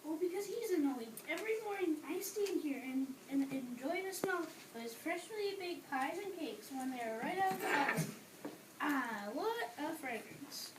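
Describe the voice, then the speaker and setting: A woman's voice: high-pitched, expressive, moderate pace. A young woman playing a friendly, dreamy man in an amateur readers theater play. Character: friendly, dreamy man who savors bakery smells. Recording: echoey noisy room, distant microphone.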